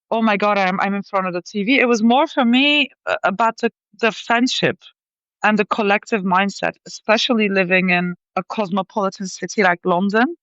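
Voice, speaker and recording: A woman guest from Belgium, telling her story in an animated way with lively asides. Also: medium pitch, no room sound, dull audio. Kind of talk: conversational interview.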